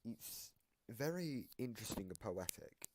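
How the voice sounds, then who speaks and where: A man giving casual, analytical reaction commentary, explaining things in a halting, rambling way that circles back on repeated phrases. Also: on the low side, sing-song, background noise. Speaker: reactor explaining game voice lines.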